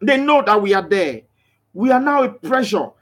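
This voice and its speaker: A man's voice: sits high, big pitch swings, normal speed, a bit bright. A man hosting a motivational live broadcast, preaching with emphatic repeated phrases and stopping to read out and answer viewers' comments. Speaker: live broadcaster promoting a crypto scheme.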